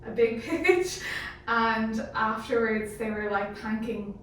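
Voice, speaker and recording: A woman's voice: on the high side, slow pace. A woman talking informally in a group discussion, often voicing what others would say. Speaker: panel team member. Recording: very echoey room, distant mic, faint noise.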